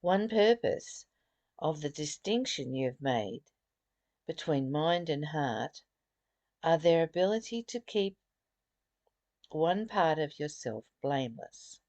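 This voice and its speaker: A woman giving a reflective spiritual lesson, reading and explaining in deliberate, emphatic phrases with pauses and repetition. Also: low voice, animated, darkish tone, dry recording. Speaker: spiritual study teacher.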